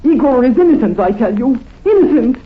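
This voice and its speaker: Fiery wife on tinny radio. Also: female voice, high voice, big pitch swings, brisk. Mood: bitter, accusing. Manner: vehement, insistent. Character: fiery, bitter foreign wife defending her husband. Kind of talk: old-time radio melodrama. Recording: tinny vintage radio recording.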